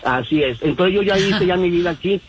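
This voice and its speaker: A man's voice: sits high, a little fast, soft background music, low-quality recording. A man from Mexico, calling in to a radio show and talking in a rambling way with many fillers. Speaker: caller. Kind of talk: phone-in radio call. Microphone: phone line.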